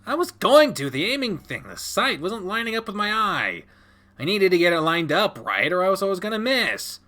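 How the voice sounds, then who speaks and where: A man's voice: sits high, sing-song, moderate pace, voice pushed up, heavily acted. Man acting a shrieking, furious adolescent animal boy. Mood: whiny, defensive. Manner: shouting, tearful, whiny protest. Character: enraged, defiant adolescent male animal, cracking into shrieks.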